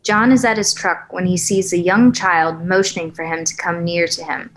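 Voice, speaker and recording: Casting: female narrator with a slightly low voice, reading scene headings and action lines. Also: steady tone, normal speed. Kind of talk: reading screenplay stage directions.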